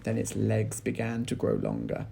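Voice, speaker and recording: A man with an English accent reading a children's story aloud like a teacher, in animated narration. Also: on the low side, moderate pace, vocal fry, slight background noise. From England.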